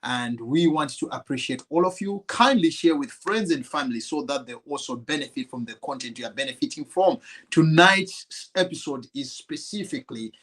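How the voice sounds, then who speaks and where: A man's voice: on the high side, expressive, moderate pace, fairly bright tone. A man hosting a live online talk show over a video call, greeting viewers and his guest in a friendly, easygoing way. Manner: friendly, many fillers and restarts, laughs. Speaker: show host.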